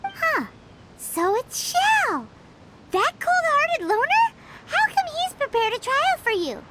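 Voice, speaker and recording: A woman voicing a tiny childlike fairy companion in a video game, squeaky, excitable and blunt, with wide-eyed exclamations. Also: takes it slowly, very noisy, exaggerated voice. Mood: surprised, blunt. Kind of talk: English video game voice acting. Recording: loud background music. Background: loud game music.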